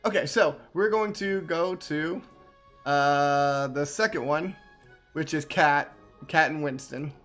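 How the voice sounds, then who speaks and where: A man's voice: a little high, takes it slowly, a bit bright, faint music behind. A man giving casual, rambling game commentary as a streamer, often repeating his phrases. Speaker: gaming streamer.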